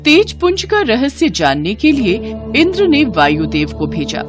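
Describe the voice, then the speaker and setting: A woman's voice: fairly high pitch, wildly swooping pitch, a little slow. A woman narrating a scripted devotional story as a storyteller. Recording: music behind the voice.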